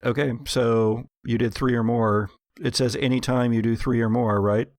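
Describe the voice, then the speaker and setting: A man's voice: medium pitch, steady tone, normal speed, a little mellow, no echo. A man running a tabletop roleplaying game as game master, moving between dramatic scene narration and casual rulings.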